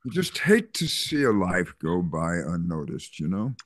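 A man's voice: sits low, big pitch swings, measured pace, no echo, clean studio sound, full character voice. An older man reading the part of a kindly small-town pastor at a funeral over a video call. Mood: somber. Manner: gentle, patient, mildly scolding. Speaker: Reverend Matthew. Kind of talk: zoom radio-play reading.

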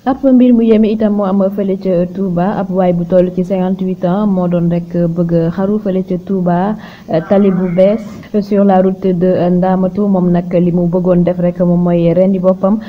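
A woman with a Senegalese accent presenting news narration, with a halting delivery and frequent hesitant pauses. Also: fairly low pitch, little pitch movement. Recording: dull lo-fi sound with clear noise.

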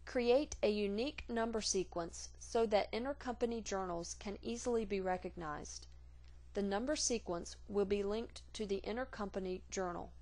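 A woman reading scripted instructional narration for a software tutorial in a measured, formal, neutral manner. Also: mid-range voice.

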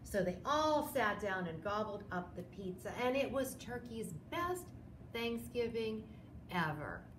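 A woman in her sixties hosting a children's storytime, reading a picture book expressively with drawn-out dramatic phrases. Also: medium pitch, very animated. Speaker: library storytime host. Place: small indoor room, seated. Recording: roomy echo, clear background noise.

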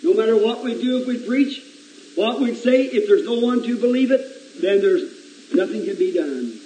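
A hoarse man with a cold, preaching earnestly to his congregation and calling on people by name. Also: sits high, expressive, moderate pace, slight background noise. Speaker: pastor. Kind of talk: informal sermon talk to a congregation. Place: church sanctuary. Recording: echoey church with loud congregation singing.

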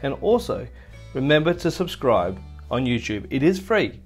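A man's voice: medium pitch, sing-song, moderate pace, breathy edge, faint music behind. A middle-aged man from Australia hosting a casual camping vlog by a river, chatty and upbeat, laughing off his own slip-ups. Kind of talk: casual travel vlog with bloopers. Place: outdoors by a river in a bush reserve. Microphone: camera from a few metres away.

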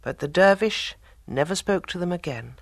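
A storyteller reading a tale as an audiobook, in a soft, breathy voice with measured delivery and dramatic pauses. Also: medium pitch, animated, no echo. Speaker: storyteller narrator. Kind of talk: scripted audiobook story narration.

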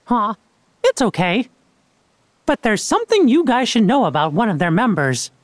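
A man's voice: sits high, huge pitch swings, normal speed, no room sound. A man voicing a small, kindly cartoon king who talks in a folksy, reassuring way in a video game.

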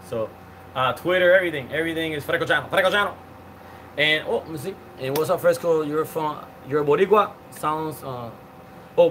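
A man in his forties talks loosely into a phone camera on an outdoor patio, reading out viewer comments. He rambles with fillers and restarts, and his voice sits a bit high. A faint hiss runs under it. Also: a bit bright. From Cuban. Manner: chatty, rambling, many fillers and restarts, greets viewers by name. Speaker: live stream host. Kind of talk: casual live-stream chat reading comments. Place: outdoor patio with palm trees.

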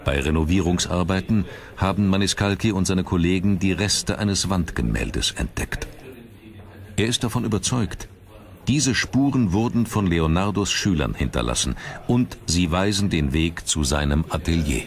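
A man reading scripted documentary narration in a measured, dramatic manner. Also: low-pitched, expressive. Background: background music.

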